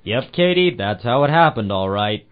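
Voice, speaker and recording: A man's voice: medium pitch, expressive, normal speed, lightly acted. A man playing a hard-boiled, world-weary private eye in an audio drama, narrating in a wry noir style. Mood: wry, regretful. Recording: dull lo-fi home recording, background hiss.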